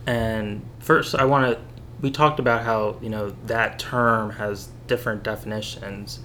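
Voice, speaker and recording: A man interviewing a guest, asking steady, affirming questions. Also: on the low side, a little slow, slight background noise.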